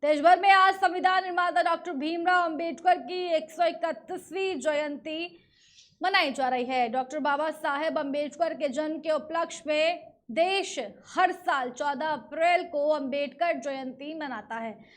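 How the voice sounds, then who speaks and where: A very high-pitched woman's voice with an Indian accent delivers scripted news. She reads as a news presenter, moving through each report in narration style. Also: a little slow, fairly bright tone.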